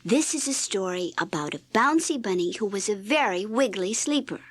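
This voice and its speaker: A woman reading a scripted children's read-along story in an expressive, deliberate storytelling manner. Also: medium pitch, no room sound. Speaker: storybook narrator.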